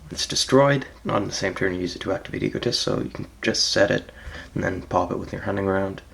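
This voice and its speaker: A gravelly, raspy man who sounds like he just rolled out of bed, his voice sitting on the lower side. He talks through his card deck in a relaxed, hesitant way, filling pauses with ums and letting phrases trail off quietly. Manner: laid-back, hesitant, frequent ums, trails off softly. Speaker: card game player presenting his deck. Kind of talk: casual deck walkthrough.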